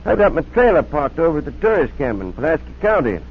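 Folksy rural tradesman, animated and obliging, on a dull, hissy 1940s radio broadcast. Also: fairly high pitch, fast talker. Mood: sober, folksy. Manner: folksy country talk. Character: folksy rural male basket weaver peddler, obliging.

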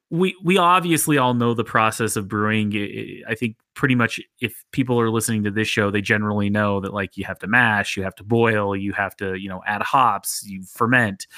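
A man hosting a podcast, interviewing casually and repeating words haltingly as he works toward his questions. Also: medium pitch, bright, forceful tone, no room sound. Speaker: podcast host.

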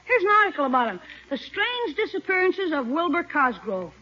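A young American boy in a 1950s radio comedy, heard through a muffled old recording. The voice is extremely high and bouncy, with a cheeky, wisecracking manner. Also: very animated, fairly quick. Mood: cheeky, eager.

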